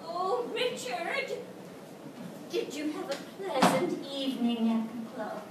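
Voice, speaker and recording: An actress playing a clinging, histrionic wife with a weak heart, in theatrical old-time radio style. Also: female voice, high-pitched, big pitch swings, slow, full character voice. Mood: sweet. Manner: theatrical, wailing, breathless exclamations. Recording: echoey hall from far off, noisy.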